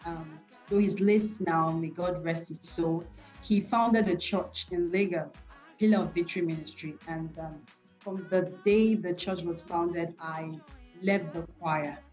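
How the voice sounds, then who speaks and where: A woman's voice: fairly low pitch, fairly even intonation, unhurried, darkish tone, faint music behind, poor audio. A woman from Nigeria with a Nigerian accent, speaking earnestly and devoutly as a gospel singer being interviewed. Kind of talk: personal interview talk.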